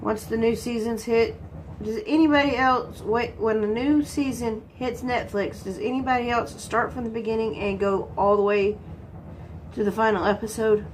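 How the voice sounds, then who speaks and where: A woman's voice: fairly high pitch, steady tone, normal speed, slight background noise, low-quality recording. A congested woman from Texas, chatting casually while she works on a craft and answering her own questions. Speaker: crafting vlogger.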